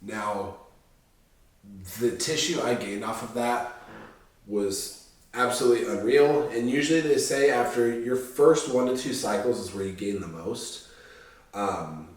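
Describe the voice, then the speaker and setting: A man in his twenties, stuffy and nasal from a sinus infection, chats in a loose, rambling way full of ums and restarts. His fairly low voice bounces around a very echoey room. The mic sits a little way off. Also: distant mic. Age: 20s. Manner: laid-back, rambling, frequent ums and restarts. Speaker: bodybuilding vlogger. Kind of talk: casual talk to camera.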